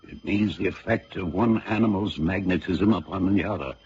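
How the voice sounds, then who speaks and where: Radio drama: man playing courtly, kindly older father, deep register, hesitant and musing, dull sound with faint noise. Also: normal speed, a little mellow, natural voice. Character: kindly, courtly, self-deprecating aging father. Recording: muffled vintage radio recording, faint noise.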